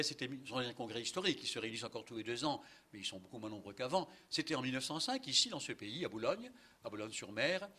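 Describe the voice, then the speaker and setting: An older man giving a conference lecture, animated, ironic and polemical, with many asides and fillers. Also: mid-range voice. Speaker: conference speaker, linguist. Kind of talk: lively lecture to an audience. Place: conference hall.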